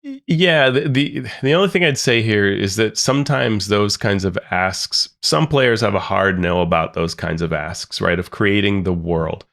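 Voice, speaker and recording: A man's voice: fairly low pitch, normal speed, vocal fry, hardly any pauses, a bit bright. A man co-hosting a podcast, chatting casually and thoughtfully, often repeating words as he thinks. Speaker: podcast co-host. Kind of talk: casual chat about tabletop games.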